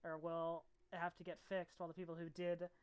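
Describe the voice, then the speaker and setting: A woman's voice: on the low side, flat delivery, brisk, clear, resonant tone. A woman acting as game master, chatting casually and thinking aloud while she handles the map. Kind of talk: running a tabletop game over voice chat.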